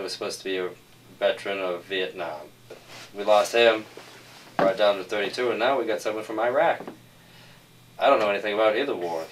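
Man w low voice giving interview answers, little distant, faint noise behind. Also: fairly bright tone. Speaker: screenwriter being interviewed. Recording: slightly distant mic with background noise.

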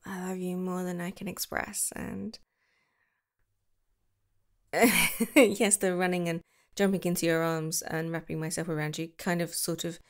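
A young woman doing a girlfriend roleplay to the listener, soft and affectionate, giggling and slipping into whispers. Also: on the low side, flat delivery, unhurried, pauses often. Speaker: ASMR roleplay creator.

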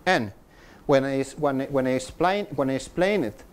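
A man's voice: mid-range voice, moderate pace, clear, resonant tone. A middle-aged man gives a technical talk to a meetup audience, often repeating words and restarting as he explains. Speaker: conference speaker. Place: meetup room with projector screen.

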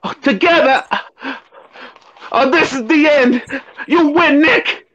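A man's voice: extremely high pitch, slow, fairly bright tone, heavily acted. An actor playing a jumpy, germ-phobic small-time crook in an old-time radio comedy, whining and protesting in tough-guy slang. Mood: defeated. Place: small room indoors. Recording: muffled home webcam audio.

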